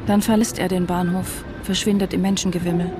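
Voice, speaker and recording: An actress playing a watchful, suspicious wife who narrates tersely in a radio drama. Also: female voice, lowish voice, level tone, fairly quick, close to an everyday voice. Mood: watchful, tense. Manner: terse, observant. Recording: music under the voice.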